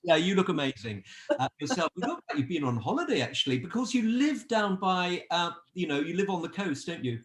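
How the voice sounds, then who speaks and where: A man's voice: high-pitched, sing-song, brisk. A man hosting an online presentation in an informal, effusive and enthusiastic way. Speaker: host. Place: online group call.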